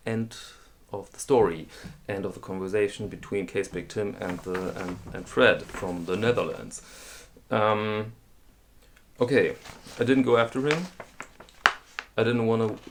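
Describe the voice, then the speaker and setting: A man with a German accent, hosting a talk video and explaining a scam in a halting way, with many restarts and ums. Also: fairly low pitch, gravelly, background noise. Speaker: host. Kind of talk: casual explainer monologue.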